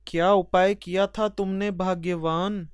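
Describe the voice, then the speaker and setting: Man acting an earnest, higher-voiced poor farmer husband. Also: fairly even intonation, unhurried, clear, resonant tone, no echo, clean studio sound. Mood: dismayed. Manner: earnest, gently chiding. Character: patient, hardworking, weary poor farmer husband in a cartoon.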